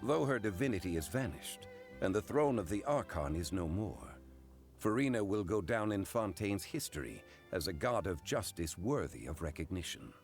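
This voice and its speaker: A man playing a solemn, dignified statesman in a video game, speaking in a formal, measured, grave manner. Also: low voice, expressive, faint music behind. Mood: solemn, respectful.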